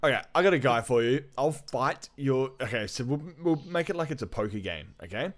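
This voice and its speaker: A man hosting a podcast, chatting casually and telling stories in an animated, slangy, sweary way. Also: mid-range voice, fairly quick. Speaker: podcast host. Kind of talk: casual chat about comics.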